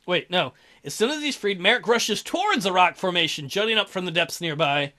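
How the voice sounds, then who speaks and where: A man's voice: sits high, expressive, a little fast, pushed and bright. A man hosting a let's play, reading a visual novel aloud and breaking in with crude, mocking jokes.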